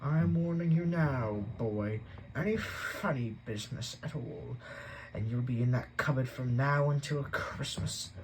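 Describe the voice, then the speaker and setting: A man reading an audiobook voices a grumpy, blustering uncle who growls out slow threats. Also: mid-range voice, a little hiss or hum, close to an everyday voice, low-quality recording. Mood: gruff. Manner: gruff, drawn-out threats.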